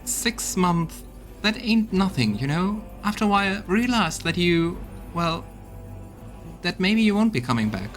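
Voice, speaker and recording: A man's voice: high-pitched, sing-song, unhurried, soft background music. A man voicing a loyal, weary female army officer in a video game, talking in an earnest, folksy way. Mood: candid, confiding. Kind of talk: voiced video game dialogue.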